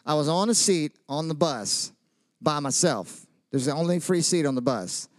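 A man preaches in a loose, joking way, asking his listeners questions and telling stories about himself. His voice sits a little high for a man. The sound is dry and close. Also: expressive, moderate pace, no room sound. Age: 30s. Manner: chatty, joking, asks the audience questions. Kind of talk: casual sermon with personal stories.

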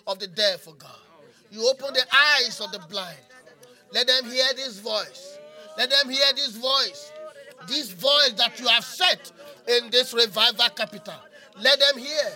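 A man's voice: sits high, pushed and bright. A man preaching in fervent charismatic prayer, repeating phrases like a chant and breaking into speaking in tongues. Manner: impassioned, repetitive, incantatory phrasing.

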